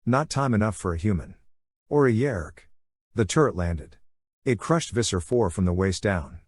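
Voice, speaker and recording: A man reads a dramatic first-person story as an audiobook narrator. His voice sits fairly low and has a husky grain. The sound is very dry, with no room around it. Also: expressive, moderate pace, no echo.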